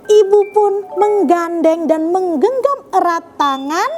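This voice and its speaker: A woman with an extremely high voice tells a story to children. She addresses her young audience directly with questions and exclamations, over faint music. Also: animated, takes it slowly, darkish tone.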